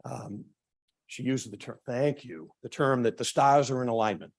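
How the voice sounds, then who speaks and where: A man addresses a forum with a voice that is starting to go hoarse, and he now and then clears his throat. His talk is halting and full of uhs and ums. His pitch sits in the middle range for a man. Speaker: town official presenting at a public forum. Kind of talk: prepared remarks at a public meeting.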